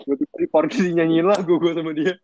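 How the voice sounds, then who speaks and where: A man's voice: on the high side, fairly even intonation, fairly quick, mellow, no room sound, highs cut off. A young man with a Jakarta Indonesian accent co-hosting a podcast in casual, slangy banter, mixing in English words. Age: young adult. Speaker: podcast co-host.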